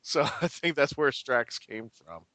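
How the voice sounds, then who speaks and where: A man's voice: medium pitch, big pitch swings, measured pace, sharp tone, no echo. A man co-hosting a fan podcast in casual, joking chat. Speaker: podcast co-host.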